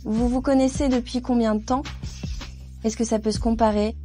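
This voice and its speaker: A woman's voice: on the high side, fairly even intonation, normal speed, mellow, soft background music, close to an everyday voice. An actress playing a haughty, jealous ex-girlfriend who feels wounded and jilted, in dubbed melodrama style. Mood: hurt, indignant.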